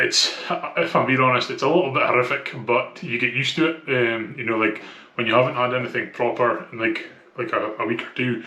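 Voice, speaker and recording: A man's voice: medium pitch, pushed and bright. A man with a thick Scottish accent talking casually and candidly in a personal vlog, with hesitant, conversational phrasing. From Scotland. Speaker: vlogger. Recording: echoey room.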